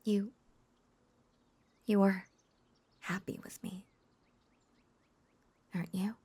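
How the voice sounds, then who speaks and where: A woman playing a lovesick, possessive, lonely witch in an intimate audio roleplay, coaxing and halting in a breathy whisper. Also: medium pitch, little pitch movement, warm tone, heavily acted. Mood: insecure, pleading.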